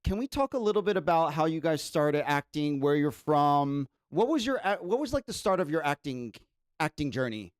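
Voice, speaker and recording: A woman hosts an interview podcast with casual questions. Her pitch moves around a lot, and the recording is dry without room echo. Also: very animated, normal speed. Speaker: podcast host.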